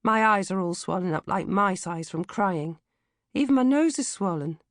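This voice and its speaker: A woman's voice: mid-range voice, animated, normal speed, no echo, clean studio sound, natural voice. A woman voicing a melodramatic, self-pitying fourteen-year-old British girl in a comic audiobook diary, complaining theatrically. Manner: exaggerated, indignant, theatrical complaining. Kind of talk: comic first-person audiobook diary narration.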